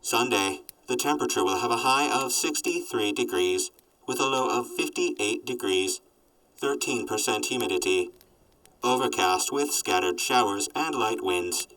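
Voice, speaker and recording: A man voicing a sardonic robot companion in an audio drama, reporting in a formal, clipped deadpan. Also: medium pitch, unhurried, recorded from a distance, low-quality recording. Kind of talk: post-apocalyptic audio drama acting.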